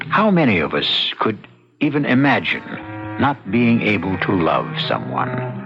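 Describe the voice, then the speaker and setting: A man hosting an old-time radio mystery, narrating a script in a deliberate, dramatic way with pointed pauses. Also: on the low side, creaky. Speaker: host. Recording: dull lo-fi radio recording with music.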